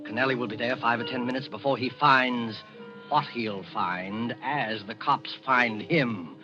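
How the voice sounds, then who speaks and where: An actor playing an ominous narrator of a 1940s radio suspense play, speaking a schemer's thoughts in terse phrases. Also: male voice, medium pitch, a little slow, natural voice. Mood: terse, calculating. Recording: muffled old radio broadcast recording. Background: dramatic music.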